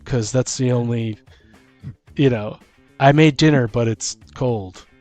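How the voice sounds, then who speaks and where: A man's voice: medium pitch, slow pace, a little mellow, faint music behind. A man co-hosting a podcast in a casual, rambling, jokey way, acting out little bits of dialogue as he goes. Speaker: podcast co-host. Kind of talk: casual chat.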